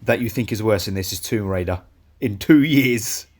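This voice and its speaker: Podcast co-host, lowish voice, teasing and blunt, going a bit hoarse. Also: male voice, normal speed, a little mellow. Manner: blunt, teasing, swears freely. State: losing his voice. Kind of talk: casual film review chat.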